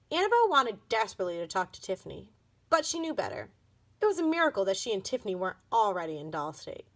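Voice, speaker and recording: Bright, expressive woman reading a storybook. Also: a little high. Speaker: school reader reading a children's book aloud.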